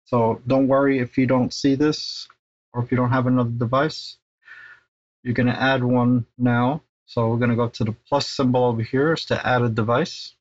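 A man's voice: mid-range voice, flat delivery, normal speed, lo-fi sound. A middle-aged man giving a casual, informal step-by-step tech tutorial, sometimes hesitating and repeating himself. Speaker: tech installer presenting a how-to tutorial.

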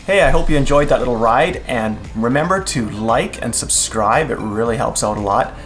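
A man's voice: mid-range voice, animated, moderate pace, fairly bright tone, faint music behind. A middle-aged man, chatting casually as the host of a travel vlog. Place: living room, inside a moving car, and outdoors by roads and shops.

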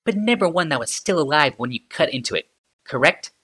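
A man voicing a sardonic female inventor in an audiobook, calm and mocking in tone. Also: a little high, fairly quick, slightly rough, pitched above the performer's own voice, heavily acted. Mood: musing. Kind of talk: audiobook character reading.

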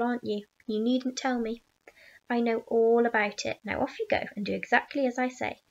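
A strange little old man leans in close, his slow, creaky voice dropping to a conspiratorial whisper, as a woman narrator puts him on. The gravel in his throat is thick, and he coaxes like he is sharing a secret. The storybook recording sounds a little dull and muffled. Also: on the high side.

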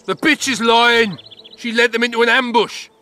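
An actor playing a crude, hot-headed soldier in a video game. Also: male voice, very high-pitched, fairly even intonation, normal speed, sharp tone. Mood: angry.

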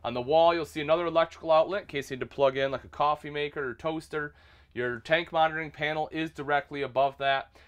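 A man's voice: fairly high pitch, steady tone, fast talker, clear, resonant tone, lo-fi sound. A man in his thirties, an RV product specialist, giving an upbeat walkthrough tour inside a small travel trailer and calling viewers folks.

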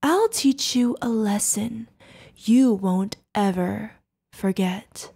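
A woman's voice: mid-range voice, slow pace, vocal fry, mellow, husky, heavily acted. A woman voicing an insane, sadistic female yandere killer in an ASMR roleplay, creepy and giggling, with teasing, singsong threats. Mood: sinister, obsessive. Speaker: voice actor.

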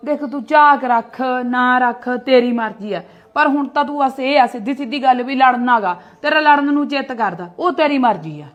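A woman's voice: high voice, dull audio, natural voice. A middle-aged woman, acting a defensive mother who argues back and scolds her sister-in-law in a family skit. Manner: argumentative, quick retorts, scolding.

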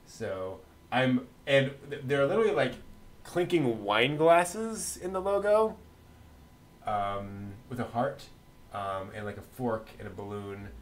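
A middle-aged man co-hosting a news show in a casual, chatty way with a halting delivery. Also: medium pitch, lively intonation. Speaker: co-host of an anime news show.

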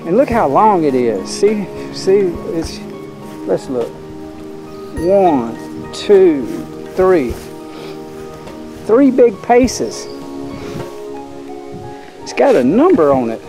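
A man's voice: sits high, sing-song, very slow, pauses often, over music. A man in his fifties vlogging casually on a rural roadside, rambling and thinking aloud in a folksy way as he films. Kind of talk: casual vlog narration. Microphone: camera held by cyclist.

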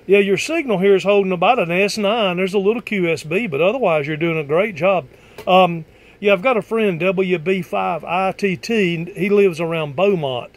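A man's voice: sits high, moderate pace. A man talking casually as an amateur radio operator, asking and answering in conversational ham radio style.

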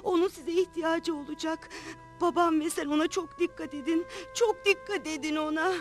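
A woman's voice: very high-pitched, fairly even intonation, moderate pace, soft background music. A woman voicing a tender, frail young mother in a cartoon dub. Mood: weak, urgent. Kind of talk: Turkish cartoon dub acting.

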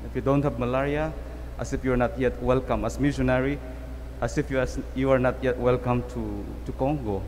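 A man's voice: mid-range voice, fairly even intonation, a little fast, a little mellow, slight background noise. A Filipino priest giving a conversational homily at a lectern mic in a church, telling a story and often repeating his words. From Philippines. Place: church sanctuary. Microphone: stand mic at lectern. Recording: reverberant church, faint ambience.

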